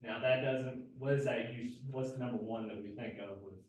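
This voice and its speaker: A soft-spoken man teaching a live class, explaining patiently with plenty of fillers and self-corrections. His voice sits at a middle pitch and does not carry. The room is very reverberant, and he is picked up from well away from the mic. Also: steady tone, brisk. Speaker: agricultural extension agent teaching a gardening class. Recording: very echoey room, mic far from speaker.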